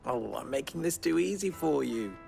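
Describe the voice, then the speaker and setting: A man's voice: medium pitch, lively intonation, normal speed, creaky, a little mellow, soft background music. An actor playing a cocky young merchant and broker in a video game, with a theatrical, taunting way of drawing out his phrases. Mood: playfully condescending. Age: young adult.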